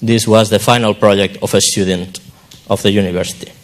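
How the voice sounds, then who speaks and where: A man with a fairly low voice presents research at a conference. He speaks in short, simple phrases, pausing and restarting as he goes. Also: steady tone. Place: conference hall.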